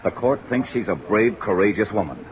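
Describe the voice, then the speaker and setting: Low, cynical fugitive played by a man. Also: lively intonation, normal speed, highs cut off, a crowd in the background, lightly acted. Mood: cynical, bitter. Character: cynical, bitter male fugitive accusing a woman. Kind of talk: old-time radio drama acting. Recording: noisy vintage radio recording with rain.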